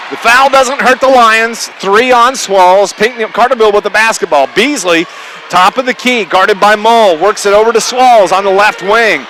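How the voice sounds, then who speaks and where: A man doing live radio play-by-play of a basketball game, in clipped running phrases with his voice often raised. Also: high voice. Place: packed high school gymnasium. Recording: loud gym crowd noise, live radio broadcast. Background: crowd, bouncing basketballs.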